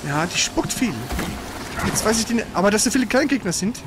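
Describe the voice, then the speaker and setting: A man giving casual, colloquial live commentary while playing a video game, prone to excitable exclamations. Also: sits high, fairly quick, background noise. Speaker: let's player. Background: loud video game sound.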